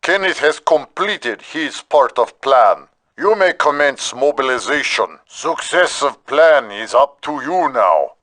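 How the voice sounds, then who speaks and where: An actor playing a formal, earnest resistance comrade with stilted phrasing in a video game. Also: male voice, mid-range voice, animated, slow pace, fairly bright tone. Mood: solemn, encouraging. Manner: stilted, drops articles. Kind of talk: game voice acting.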